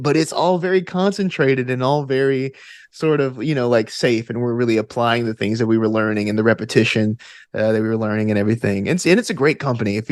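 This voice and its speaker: A man's voice: medium pitch, dry recording, studio quality. A man in his twenties with an Alabama Southern accent, chatting casually and enthusiastically in informal, slangy language as an interview guest. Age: 20s. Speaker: guest, actor and stage combat student.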